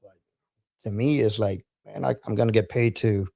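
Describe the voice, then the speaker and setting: Low-pitched man telling casual stories. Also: fairly even intonation, moderate pace, vocal fry, mellow. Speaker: podcast guest, military veteran. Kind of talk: casual podcast storytelling.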